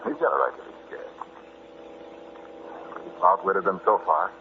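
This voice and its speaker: A man's voice: sits low, moderate pace, lightly acted. An actor in an old-time radio drama playing a flippant, stubborn explorer husband who hides his fear, telling his tale in a wry, conversational way. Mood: defiant. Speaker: narrator and lead actor. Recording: muffled, noisy vintage radio recording.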